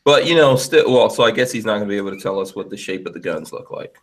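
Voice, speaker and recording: Laughing, animated podcast host man. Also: on the low side, fast talker, slight background noise. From Guyana. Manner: animated, joking storyteller who laughs often. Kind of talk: casual chat. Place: home studio room. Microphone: headset on a video call.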